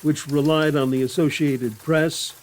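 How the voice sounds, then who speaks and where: A man's voice: fairly high pitch, steady tone, unhurried. An older man narrating a documentary in a reminiscing, scripted style, as a former radio announcer.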